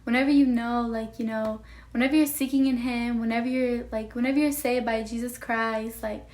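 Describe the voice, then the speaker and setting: Young woman vlogger, soft and childlike, a bit high, earnest and rambling. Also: steady tone, a little fast. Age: young adult. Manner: earnest, rambling, full of "like" and "you know". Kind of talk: heartfelt personal talk to camera.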